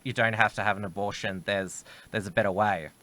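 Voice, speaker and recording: Low-voiced man, emphatic. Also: fairly even intonation, a little fast, pushed and bright. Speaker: co-host of a political livestream. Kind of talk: opinionated political talk.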